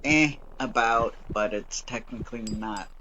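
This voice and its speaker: A man's voice: medium pitch, measured pace, bright, forceful tone, slight background noise, low-quality recording. A man with a nasal, stuffy voice from allergies, chatting casually and a bit apologetically as a let's play host at home. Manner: rambling, apologetic, chatty with fillers. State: chest bothered from bonfire smoke. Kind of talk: casual chat while gaming. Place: home basement. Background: game music.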